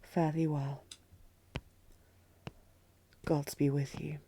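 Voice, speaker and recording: A woman's voice: low voice, level tone, very slow, dark tone, slight background noise. A woman playing a commanding, tormented queen in a fantasy audio drama, regal and restrained but intense. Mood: tender, resigned. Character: commanding, tormented queen in love with her general.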